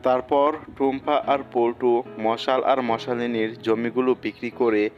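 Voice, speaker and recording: A man's voice: medium pitch, level tone, measured pace, muffled recording. A man narrating a cartoon folk tale as a scripted storyteller. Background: music.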